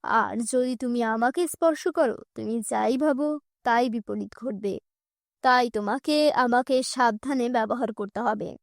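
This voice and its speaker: Woman voicing a calm, solemn talking magic book. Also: a little high, moderate pace, gravelly, no echo, clean studio sound. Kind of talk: children's fairy-tale cartoon.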